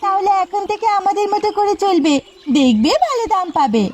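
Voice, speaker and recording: A woman voicing a brash, scheming young village woman in a cartoon, cheeky and sharp-tongued with defiant delivery. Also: very high-pitched, very animated, a little fast. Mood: casual, coaxing. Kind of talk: cartoon dialogue. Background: faint music.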